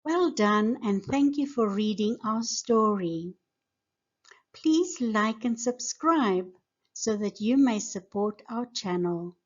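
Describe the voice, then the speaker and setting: A woman teacher giving a scripted lesson and narrating a storybook in a deliberate, simple way for young learners. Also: medium pitch, animated, highs cut off. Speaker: English teacher reading a children's story.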